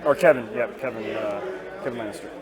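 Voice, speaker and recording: A hoarse-voiced man talking casually as he plays a tabletop wargame. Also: medium pitch, fast. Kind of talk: casual game talk. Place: game store during a tournament. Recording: busy game store crowd behind.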